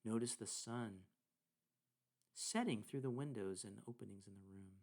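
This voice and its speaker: A man leading a guided meditation, speaking deliberately in short phrases with pauses between them. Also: on the low side, darkish tone. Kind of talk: guided meditation narration with scripture reading.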